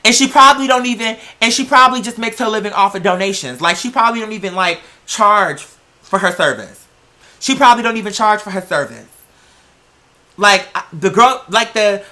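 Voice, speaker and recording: Bright, sassy, slangy vlogger on a rant. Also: medium pitch. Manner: sassy, emphatic, slangy, swears freely. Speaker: online video creator. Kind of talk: casual opinionated rant to viewers.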